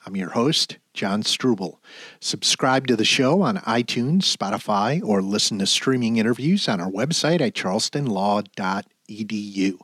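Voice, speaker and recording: A man hosting a podcast, reading out his introduction and questions in a smooth, scripted way. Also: on the low side, animated, moderate pace, a bit bright, no echo. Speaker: podcast host.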